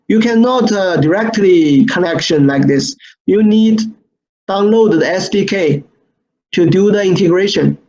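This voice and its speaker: A man who speaks English as a second language presents an online product training, repeating phrases haltingly and often checking with "right?". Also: sits high, darkish tone. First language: not English. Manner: repetitive, halting phrasing with frequent "right?" tags. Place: screen-share online session. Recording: dull lo-fi online webinar audio.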